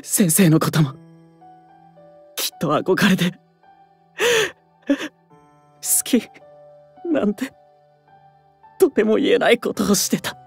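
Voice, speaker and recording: An actor playing a gentle, guilt-ridden young man in a Japanese voice drama, crying as he speaks in a breathy, broken voice. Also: sits high, animated, slow, pauses often, faint music behind, exaggerated voice. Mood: tearful remorse.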